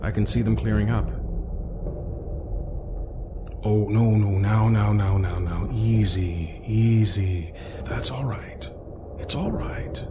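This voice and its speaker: A man's voice: fairly low pitch, a little slow, over music, close to an everyday voice. A man playing a witty, fussy, eccentric scientist-adventurer in an audio drama, chatty and theatrical with mock-formal asides. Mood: soothing.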